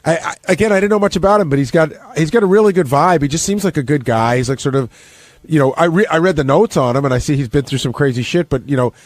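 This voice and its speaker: A man's voice: fairly high pitch, fast. A man chatting casually on a radio recap show, telling anecdotes and quoting other people as he goes. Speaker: radio show staffer and commentator.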